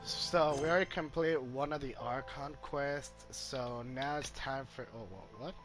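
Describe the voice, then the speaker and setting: Guy streaming a game, talks slow n halting, thinking out loud, music clearly going behind him. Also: medium pitch. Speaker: gamer streaming playthrough. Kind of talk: casual game commentary.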